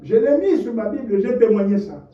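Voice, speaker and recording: A man preaching a sermon, impassioned, dropping to low murmurs and rising to shouted rhetorical questions. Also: very animated, a little fast. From DR Congo. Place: church hall. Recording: echoey, distant, dull lo-fi church recording.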